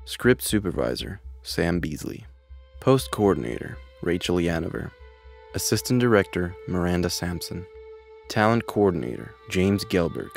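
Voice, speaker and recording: A man with a very low voice reads a scripted list of credits. He works as an announcer, with faint music playing underneath. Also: big pitch swings, normal speed, vocal fry.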